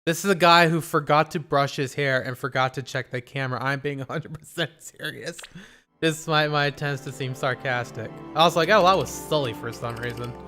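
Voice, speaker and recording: A man's voice: mid-range voice, fairly quick, bright, forceful tone. A man streaming, chatting casually and rambling in a self-deprecating way. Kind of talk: casual live stream talk. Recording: music playing behind.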